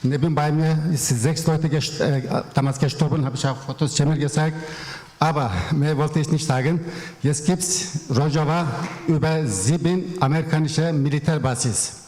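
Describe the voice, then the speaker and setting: Woman speaking halting German in an echoey hall. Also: deep voice, steady tone, warm tone. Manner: halting, non-native German. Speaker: audience member. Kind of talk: seminar contribution.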